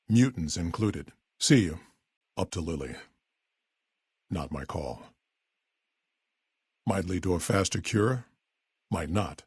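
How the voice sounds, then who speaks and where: An actor playing a weary, level-headed super mutant town leader in a video game, with a deep, gravelly, husky voice and a blunt, measured, authoritative manner. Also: male voice, darkish tone, no room sound, clean studio sound, exaggerated voice. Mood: calm, blunt. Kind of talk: video game character voice lines.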